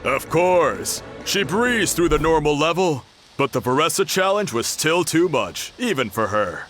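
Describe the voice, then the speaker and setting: A man's voice: on the high side, sing-song, a little slow, a bit bright, soft background music. An actor playing a jovial man hosting an eating contest in a video game, with a hearty storytelling manner. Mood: amused, explaining.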